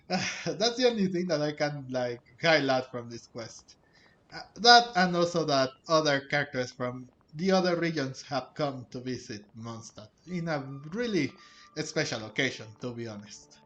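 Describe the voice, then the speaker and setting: High-pitched man commenting casually on gameplay. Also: animated, measured pace, fairly bright tone, dull audio. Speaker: gaming host. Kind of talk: casual game playthrough commentary. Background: music.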